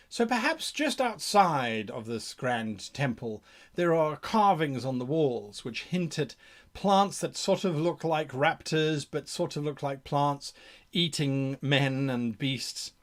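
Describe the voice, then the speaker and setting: Guy hosting game master tips, kinda high voice, swoops around a lot, theatrical, thinks out loud. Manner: theatrical, thinking aloud with rhetorical questions. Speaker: host of game-mastering advice show. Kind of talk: explanatory monologue on monster creation.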